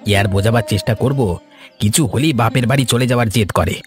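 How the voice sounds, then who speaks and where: A man's voice: mid-range voice, quick pace, soft background music, natural voice. A man playing a hot-headed, envious, hard-up village husband in a cartoon, with a blustering, aggrieved manner. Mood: troubled, scheming. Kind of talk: scripted cartoon dialogue.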